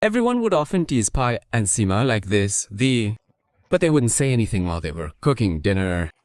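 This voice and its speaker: A man's voice: lowish voice, big pitch swings, fairly quick, darkish tone, dry recording. A man reading scripted narration for a family story as its narrator.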